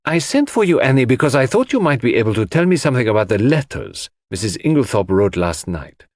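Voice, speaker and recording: A man voicing a calm, courteous detective who questions people precisely in an audiobook mystery. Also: medium pitch, a little fast, no room sound, clean studio sound, pitched above the performer's own voice. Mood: businesslike.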